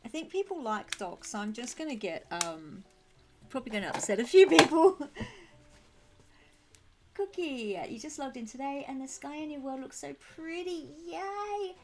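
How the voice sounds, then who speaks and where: A woman with a slightly croaky throat chatting casually and bubbly to viewers while live streaming a game, affectionate and quick to giggle. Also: high voice, expressive. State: croaky throat, needs clearing. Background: music.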